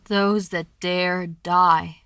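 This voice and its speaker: An actress playing a stern, oracular chorus voice in a poetic audio drama, grave and deliberate. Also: female voice, lowish voice, flat delivery, a bit bright, smooth, no room sound, heavily acted. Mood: ominous.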